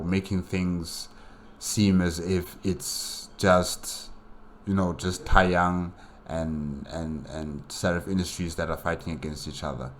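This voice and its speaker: Man, very deep voice, casual solo commentary, musing, hesitant, repetitive, slight noise. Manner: hesitant, musing, repeats phrases. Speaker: reaction video host.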